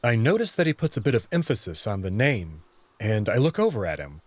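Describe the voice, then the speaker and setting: A man's voice: on the low side, very animated, a little fast, dry recording. A man reading scripted first-person narration for a visual novel.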